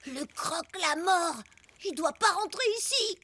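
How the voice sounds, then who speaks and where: A woman voicing an excitable, superstitious young prehistoric boy in a French cartoon, speaking in a dramatic, exclamatory way. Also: very high-pitched, unhurried, full character voice. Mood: fearful, earnest. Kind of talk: French animated cartoon dubbing.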